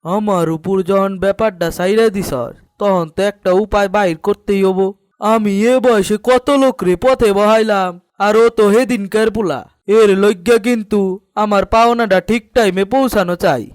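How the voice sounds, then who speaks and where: A man's voice: sits high, moderate pace, smooth, natural voice. A man voicing a sly, greedy older village headman in a cartoon, speaking in an East Bengali dialect with a self-important, conspiratorial manner. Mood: sly, scheming. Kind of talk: cartoon dialogue acting.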